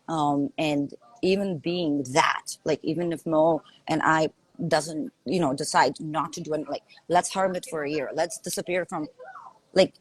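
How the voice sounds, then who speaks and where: A woman's voice: lowish voice, poor audio. A woman with a Lithuanian accent, a coach talking earnestly in a podcast conversation, often asking "right?". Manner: earnest, emphatic, repeats herself and asks "right?".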